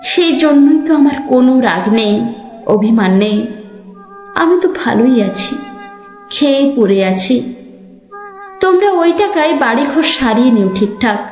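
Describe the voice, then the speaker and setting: A very high, plaintive young village girl's voice, acted by a woman in an echoey recording with faint music underneath. She recites a sad letter to her mother as a dramatic poem, tenderly, in short phrases with pauses between them. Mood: bitter, hurt, then resigned, tender. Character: sorrowful young village daughter, sold off, writing to mother. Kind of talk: dramatic Bengali poetry recitation as letter monologue. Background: soft background music.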